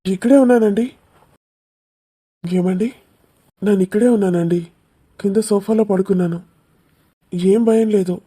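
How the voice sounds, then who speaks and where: A woman voicing a caring, lovestruck young man in a cartoon romance, speaking in a soft, reassuring and earnest way. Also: medium pitch, slightly rough.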